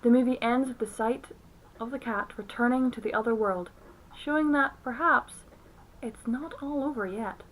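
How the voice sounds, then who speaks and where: Higher-pitched young woman narrating a review. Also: normal speed, fairly bright tone, poor audio. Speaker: film reviewer.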